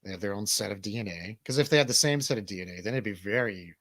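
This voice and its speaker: A man's voice: lowish voice, lively intonation, fast, fairly bright tone, dry recording, studio quality. An American man co-hosting a comedy radio show as a DJ, chatting casually in rambling, profane, joking banter.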